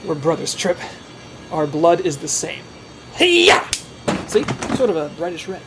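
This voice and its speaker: A woman's voice: fairly low pitch, takes it slowly, loud music behind the voice. A woman playing an eager, earnest, goofy male secret agent in an audio comedy drama. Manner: excitable, earnest.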